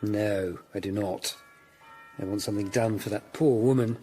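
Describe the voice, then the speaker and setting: An actor playing a grave, compassionate, determined Tudor lawyer-detective in a radio drama. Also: male voice, lowish voice, steady tone, moderate pace, mellow, soft background music, close to an everyday voice. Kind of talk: BBC radio drama acting.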